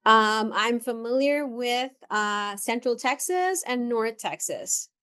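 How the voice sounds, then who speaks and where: A woman's voice: on the high side, takes it slowly, pushed and bright, smooth. A middle-aged woman from the Dominican Republic, a therapist guest telling her career story in a reflective way with many ums and restarts. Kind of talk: conversational interview.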